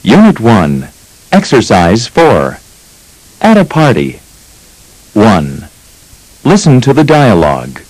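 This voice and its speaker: A man reading instructions as narrator of a language course, slow and deliberate. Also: on the low side, wildly swooping pitch, darkish tone, no room sound, low-quality recording.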